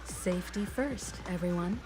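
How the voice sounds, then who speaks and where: A woman playing a composed, caring, practical team leader in a video game, measured and matter-of-fact. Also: on the low side, close to an everyday voice. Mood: calm, practical. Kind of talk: video game character acting. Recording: music behind the voice.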